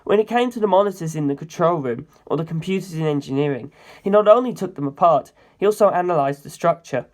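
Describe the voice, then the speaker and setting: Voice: medium pitch, quick pace, a little mellow. Narrator reading first-person fiction, mid-range voice. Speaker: story reader.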